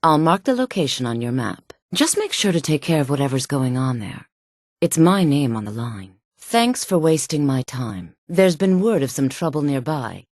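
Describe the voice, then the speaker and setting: Hard-edged fantasy game woman, sultry, guarded, lowish pitch, very expressive intonation, pointed delivery. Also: normal speed, dry recording, studio quality. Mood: businesslike. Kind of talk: video game character voice lines.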